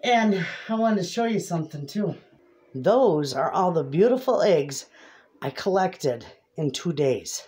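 A middle-aged woman chatting casually to camera in her home kitchen as she cooks, homey and quick to laugh. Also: fairly low pitch, expressive, a little mellow. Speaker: homestead cooking vlogger. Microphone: camera across the kitchen. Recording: noisy home kitchen audio.

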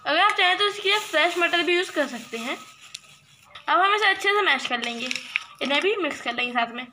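A woman hosting a cooking channel, explaining a recipe step by step in a steady voice-over. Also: extremely high pitch, brisk, noisy background.

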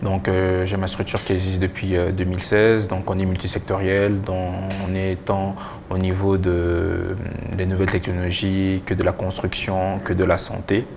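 A young man giving halting answers with repeated words as a guest in a TV interview. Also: deep voice, completely flat delivery, a little mellow, a little hiss or hum. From DR Congo and Belgium. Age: young adult. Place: TV studio. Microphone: lapel mic.

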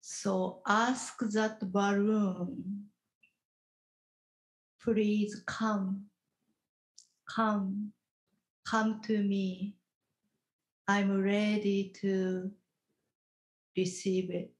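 A woman leading a guided meditation as a teacher, instructing gently in short, halting phrases with long pauses. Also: mid-range voice, flat delivery, low-quality recording.

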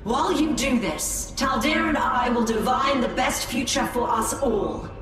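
A commanding female alien leader speaks with solemn, measured authority. Her high voice sounds distant and drenched in echo over music. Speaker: game character. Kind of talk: dramatic video game cutscene acting. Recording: distant, heavily echoing, music underneath.

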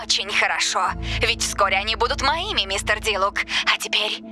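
A woman voicing a sinister mastermind in a cartoon dub, speaking in a measured, menacing way. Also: medium pitch, music in the background, lightly acted. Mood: quiet menace. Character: sinister, controlled mysterious mastermind.

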